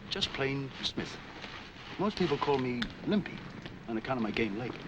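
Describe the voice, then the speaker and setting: An actor playing a humble, plain-spoken, obliging street peddler in a 1940s crime film. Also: male voice, mid-range voice, expressive, normal speed, lightly acted. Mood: humble, friendly. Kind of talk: 1940s film noir acting. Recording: noisy old film soundtrack, street ambience.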